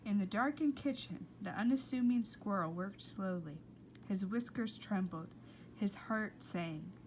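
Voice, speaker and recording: A woman reading a children's book aloud with expressive, playful and dramatic narration. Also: medium pitch, measured pace, slight background noise, low-quality recording. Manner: dramatic, playful delivery with whispers and asides. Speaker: read-aloud storyteller.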